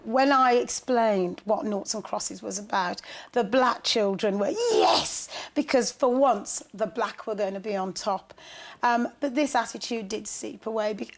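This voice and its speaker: A woman, a teacher, recounting experiences in an interview in an articulate, enthusiastic way. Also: medium pitch. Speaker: special school teacher. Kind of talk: interview recollection.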